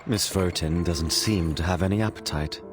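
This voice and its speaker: An actor playing a sardonic, disdainful villain in a video game, calmly taunting. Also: male voice, deep voice, moderate pace, close to an everyday voice. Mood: mocking. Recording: loud background music.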